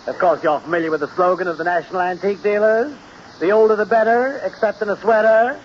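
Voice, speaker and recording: An actor playing a fussy, eccentric antique shop keeper in a theatrical 1940s radio comedy style. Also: male voice, high-pitched, fast, natural voice. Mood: chatty, sly. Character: fussy, eccentric male antique shop dealer who crochets. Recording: noisy, dull old radio recording.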